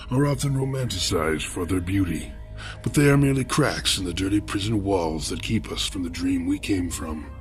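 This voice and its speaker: An actor playing a serene, philosophical serial killer who narrates horror fiction in measured, florid, formal phrases. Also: male voice, low-pitched.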